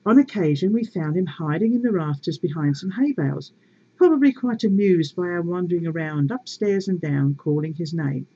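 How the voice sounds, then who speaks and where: A woman reading a story aloud in a measured, expressive and wry way, with casual asides to her viewers. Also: fairly low pitch, husky, lo-fi sound.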